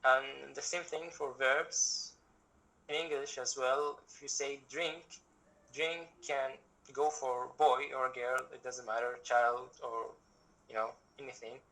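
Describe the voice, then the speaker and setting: A young man from Egypt teaches an online workshop over a video call. His voice is bright and forward, and he explains patiently, circling back and restarting as he works through his examples. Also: medium pitch, flat delivery, slow pace, low-quality recording. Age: young adult. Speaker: guest language teacher.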